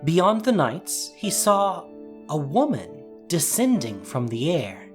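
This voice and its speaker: A man reading a scripted fantasy story as narrator, with measured, deliberate, suspenseful phrasing. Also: fairly high pitch, huge pitch swings. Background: soft music.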